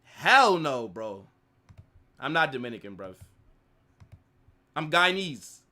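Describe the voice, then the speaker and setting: A man's voice: a little high, slow. A man hosting a live football watch-along, chatting casually and reacting to his chat. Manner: animated banter, reading chat aloud. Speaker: live stream host.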